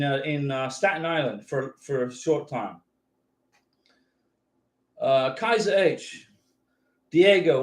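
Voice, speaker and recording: A middle-aged American man with a fairly high voice hosts a live stream and answers viewers. He talks in a brisk, bossy, coaching way and repeats his words as he goes. The room around him rings with echo. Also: a little mellow. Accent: mixed American, no regional accent. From United States, military family. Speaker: host answering viewer questions on visas. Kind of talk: live stream chat reading comments.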